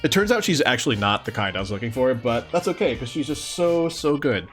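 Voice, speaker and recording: A man's voice: mid-range voice, animated, fairly quick, fairly bright tone, soft background music. A man hosting a livestream, chatting casually and affectionately with his viewers. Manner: affectionate, cooing to a pet. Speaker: livestream host.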